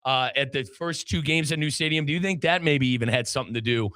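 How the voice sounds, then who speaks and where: A man's voice: mid-range voice, quick pace, sharp tone, clear, resonant tone, dry recording, studio quality. A man hosting a sports talk show, chatty and emphatic, repeating points and addressing listeners directly between sponsor reads. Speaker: sports radio host and play-by-play announcer.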